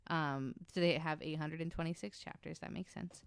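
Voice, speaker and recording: A woman's voice: low voice, fairly even intonation, moderate pace, vocal fry, clear, resonant tone, no echo. A woman chatting casually and bluntly on a discussion panel, sometimes reading information aloud. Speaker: podcast panelist. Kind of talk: casual panel chat, reading out web pages.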